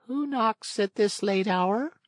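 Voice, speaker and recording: A man voicing a calm, unhurried female snail in a children's storybook. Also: high voice, no room sound, studio quality, pitched above the performer's own voice.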